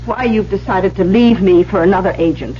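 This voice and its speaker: A woman's voice: mid-range voice, fairly quick, natural voice. Radio drama casting: wheedling, proper professional woman actress, theatrical delivery, muffled vintage sound with faint hum. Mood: pleading. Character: worried, wheedling female literary agent, polished and proper. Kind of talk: 1970s radio drama acting. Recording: muffled, humming old radio broadcast.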